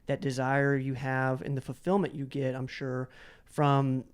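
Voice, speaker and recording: A man with a clear, smooth voice in a middling register hosts a casual interview. He talks in a halting, roundabout way, repeating words as he builds his questions. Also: medium pitch, monotone. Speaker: interview host.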